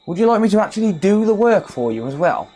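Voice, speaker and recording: A man's voice: sits high, sing-song, fast, dark tone. A man chatting casually on a live stream while repairing a console, sarcastic and swearing often as he answers his chat.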